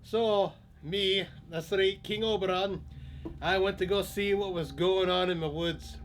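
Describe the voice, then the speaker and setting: A man's voice: high-pitched, a little slow, faint music behind. A man voicing a grumbling fairy king who was woken from his nap. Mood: grumpy. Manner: grumbling, storytelling aside.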